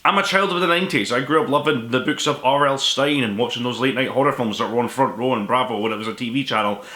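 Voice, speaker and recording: A man's voice: medium pitch, fast talker, bright, forceful tone. A man in his twenties or thirties giving a casual, rambling book review to camera as host of his own show. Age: 20s to 30s. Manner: rambling, self-deprecating, informal. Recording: echoey room.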